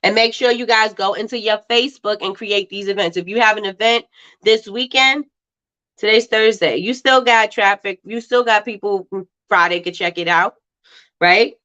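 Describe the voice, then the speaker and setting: Woman livestream host, stuffy nose, chatty and laughing, mid-pitched, slightly muffled audio. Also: moderate pace, pushed and bright. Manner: chatty, laughs often, repeats herself. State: stuffy and congested. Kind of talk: casual live-stream chat reading viewer comments.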